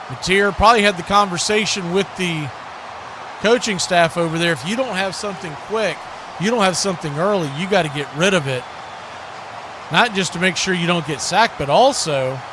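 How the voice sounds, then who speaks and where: High-pitched American man calling football play-by-play. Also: animated, moderate pace, long pauses, background noise. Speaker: play-by-play sportscaster. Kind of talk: live American football commentary.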